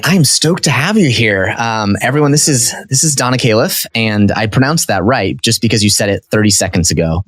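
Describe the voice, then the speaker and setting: A man's voice: fairly low pitch, a bit bright, no room sound. A man hosting a podcast in a studio, chatting in an upbeat way with lots of fillers and restarts. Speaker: podcast host. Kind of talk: casual interview chat.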